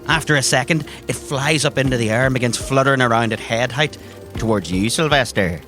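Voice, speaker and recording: A man's voice: medium pitch, brisk, sharp tone, faint music behind. A man narrating a tabletop adventure as game master, in vivid, theatrical and descriptive language.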